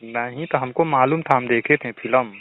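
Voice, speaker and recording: A man's voice: medium pitch, moderate pace. Young Bhojpuri-accented man on a phone line. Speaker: student. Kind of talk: casual phone chat, telling anecdotes. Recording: narrow, tinny phone call recording.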